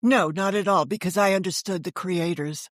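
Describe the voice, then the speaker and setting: A woman's voice: on the low side, normal speed, no room sound, studio quality. An older woman talking as an interview guest, telling stories conversationally and correcting herself as she explains. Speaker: guest, author and editor.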